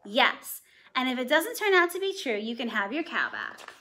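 A sly, funny-looking storybook stranger who speaks in a slow, coaxing way to strike a deal, put on by a woman reading aloud. His tone is bright and lilting. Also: high-pitched, sing-song, slight background noise, full character voice.

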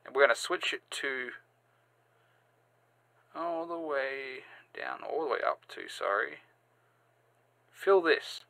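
Man, tutorial host, medium pitch, halting step-by-step delivery, dry indoor voice-over. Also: expressive, creaky, pushed and bright, dry recording. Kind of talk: step-by-step software tutorial narration. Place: indoors, screen-recording voice-over.

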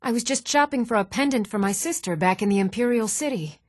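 An actress playing an even-toned fantasy townswoman in scripted video game dialogue, with a measured, composed delivery. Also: a little high, no echo, clean studio sound, lightly acted. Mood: guarded, composed.

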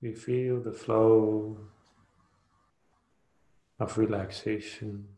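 A man leading a guided meditation as a teacher, speaking soothingly in short phrases with long pauses. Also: fairly low pitch, little pitch movement, warm tone, roomy sound.

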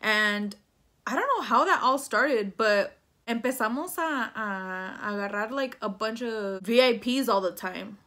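Young woman, bright higher-pitched voice, casual storytime, Spanglish, slangy. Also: a little slow. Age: 20s. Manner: chatty, switches between English and Spanish, slangy. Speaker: vlogger telling a personal story. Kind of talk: casual storytime chat to camera. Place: small plain room indoors.

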